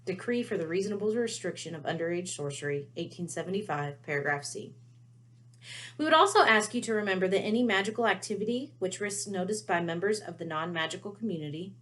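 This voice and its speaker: A woman's voice: fairly low pitch, a bit bright. Woman as a prim, officious ministry letter-writer. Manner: officious, clipped, formal. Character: prim female ministry official reading a stern warning letter.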